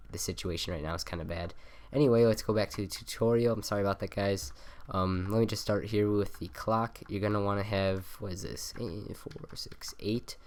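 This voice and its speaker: A young man with a nasal voice rambling through a game tutorial, with lots of ums and self-corrections, on a cheap desk mic. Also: low voice. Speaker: gaming tutorial maker. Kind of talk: casual game tutorial voice-over. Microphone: cheap USB desk mic by the keyboard.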